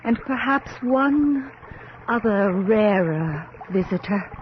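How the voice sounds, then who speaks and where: An actress playing a solemn, eerie witch who gives deliberate warnings and incantations in a horror radio drama. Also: medium pitch, animated. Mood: ominous. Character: solemn, eerie witch conducting a dangerous night-time séance. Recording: dull, noisy old radio drama tape.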